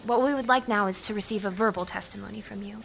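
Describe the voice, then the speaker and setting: A composed female investigator in an audio drama, asking measured, procedural questions. The voice is mid-pitched, over faint background noise. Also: vocal fry, a bit bright. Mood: businesslike. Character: composed female police interviewer, formal, patient, procedural.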